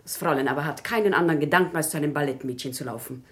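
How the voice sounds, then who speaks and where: Woman playing dutiful serious wife in a radio drama, low voice, formal, restrained. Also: very fast, lightly acted. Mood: strict, reproving. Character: dutiful, earnest milliner's wife, upright and grave. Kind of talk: German radio play acting.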